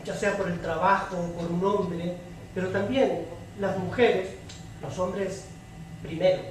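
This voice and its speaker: A woman's voice: lowish voice, fairly even intonation, takes it slowly, a little mellow. A woman moderating a public debate, explaining things off the cuff and sometimes searching for words. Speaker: debate moderator from a radio organization. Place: indoor public debate, roomy, picked up from afar. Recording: echoey room, distant mic, faint noise.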